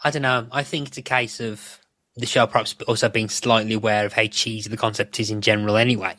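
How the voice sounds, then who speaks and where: A man's voice: on the low side, little pitch movement, fast, pushed and bright, no echo. A man giving thoughtful, circling analysis as a guest in a casual podcast discussion.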